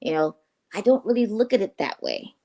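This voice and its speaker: A woman co-host on a morning talk show who speaks candidly and in a relaxed way. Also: mid-range voice, a little fast. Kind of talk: casual radio talk.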